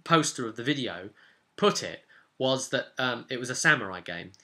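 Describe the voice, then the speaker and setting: A man talking casually as a game master and video host, rambling through a recap of a tabletop session with animated, emphatic repetition and rhetorical asides. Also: mid-range voice, normal speed.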